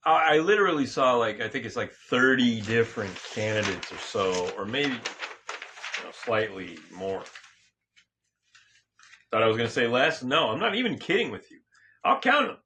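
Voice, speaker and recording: A man hosting a casual sports talk show, slightly hoarse, rambling and joking with frequent restarts and self-corrections. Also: mid-range voice, animated, normal speed, pauses often, pushed and bright, slight background noise. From California. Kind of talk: casual solo sports and politics chat.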